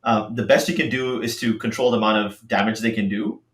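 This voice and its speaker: A man's voice: on the low side, steady tone, fairly quick, a bit bright, echoey room. A man from Singapore, a startup co-founder, talking casually and animatedly on a podcast with many fillers.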